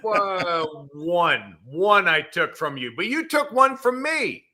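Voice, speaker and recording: A man's voice: high-pitched, sing-song, slow pace, sharp tone. A man in a casual chat as a podcast guest, talking in a blunt, emphatic way.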